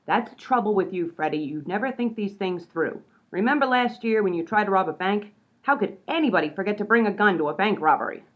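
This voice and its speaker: A woman's voice: mid-range voice, animated, fairly quick, muffled recording, close to an everyday voice. A woman playing a tough, exasperated gangster sidekick in a radio comedy, nagging in old-time mobster slang. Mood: scolding. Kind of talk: comedy radio play acting.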